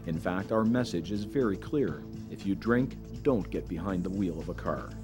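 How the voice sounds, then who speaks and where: A man giving measured, earnest scripted narration for a safety documentary. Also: sits low, expressive. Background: background music.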